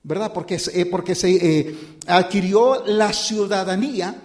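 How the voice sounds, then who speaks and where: High-voiced pastor, halting sermon. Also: male voice. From born outside the United States. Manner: informal, halting, with many fillers and restarts; addresses listeners as brothers and "usted". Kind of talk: conversational sermon.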